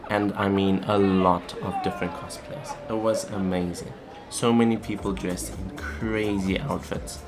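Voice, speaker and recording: A man talking casually as a vlogger, in a chatty, plain-spoken way. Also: fairly low pitch. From South Africa. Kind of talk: casual vlog talk and voice-over narration. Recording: clearly noisy, ambient background sound.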